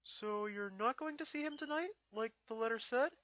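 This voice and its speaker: Man putting on a high, eager little cartoon dragon. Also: moderate pace, fairly bright tone, no echo, highs cut off. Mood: doubtful. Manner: eager, questioning. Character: excitable young boy dragon sidekick, cartoon pony fantasy.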